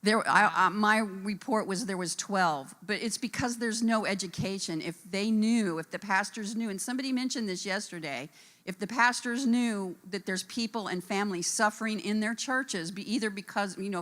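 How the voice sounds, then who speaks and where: A woman's voice: mid-range voice, a bit bright. A woman on a conference panel who works helping incarcerated women, speaking earnestly and addressing the audience directly in a conference room. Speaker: conference panelist, reentry support worker.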